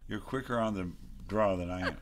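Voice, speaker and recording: A man in his sixties chatting casually on a video call in a laid-back, halting way that trails off. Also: low-pitched, a little hiss or hum. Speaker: open mic host and amateur songwriter. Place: home living room, indoors. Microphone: computer microphone on a video call.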